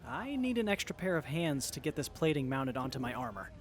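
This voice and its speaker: A man playing an earnest, excitable young tinkerer in an audio drama, with a geeky, sincere way of talking. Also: fairly high pitch, fairly quick, a little hiss or hum. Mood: focused.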